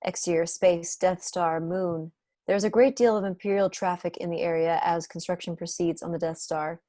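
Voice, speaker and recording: Low-voiced woman reading stage directions. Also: fairly even intonation, moderate pace, warm tone, no echo, clean studio sound. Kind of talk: scripted narration. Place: indoors on a video call.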